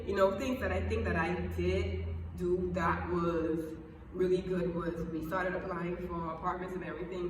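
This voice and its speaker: A young Bahamian woman giving chatty, emphatic advice in a casual vlog, often repeating herself for emphasis. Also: on the low side. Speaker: vlogger. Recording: echoey room, far from the mic, background noise.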